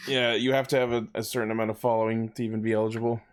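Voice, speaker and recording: A man's voice: fairly low pitch, little pitch movement, very fast. A man hosting a podcast in a casual, conversational style, chatting with co-hosts. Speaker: podcast host. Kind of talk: casual podcast sign-off banter.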